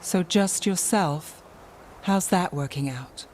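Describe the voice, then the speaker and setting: An actress playing a composed, analytical woman detective in a fantasy game, measured and wry. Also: lowish voice, darkish tone, a little hiss or hum. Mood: cool, challenging. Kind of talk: fantasy video game voice acting.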